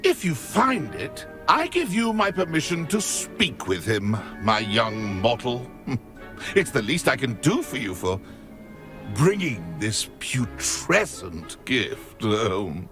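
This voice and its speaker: An actor playing a haughty, mocking ghost knight in a video game, with a British accent and a pompous, theatrical delivery. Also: male voice, medium pitch, sing-song, takes it slowly, over music. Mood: smug, dismissive. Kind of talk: video game character acting.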